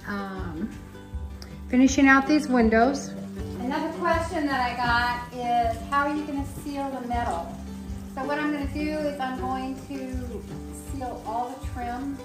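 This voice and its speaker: A woman's voice: high voice, measured pace. A middle-aged to older woman chatting casually and conversationally as she narrates a DIY vlog. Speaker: vlogger, DIY cabin builder. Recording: roomy echo, music behind.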